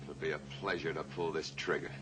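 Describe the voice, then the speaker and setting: A man's voice: very deep voice, flat delivery, a little fast. An actor playing a cornered male killer who has taken a small plane hostage, in a 1950s radio drama. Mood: menacing. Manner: menacing, then panicking. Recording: old radio recording with hiss.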